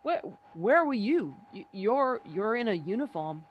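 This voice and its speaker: A woman playing a weary, haunted survivor in a radio play reading, speaking haltingly and deliberately. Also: a little high, sing-song, lo-fi sound, a little hiss or hum. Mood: anxious. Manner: halting, deliberate, repeats words. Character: weary, haunted survivor wandering a ruined land. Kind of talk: radio play reading, dramatic first-person narration.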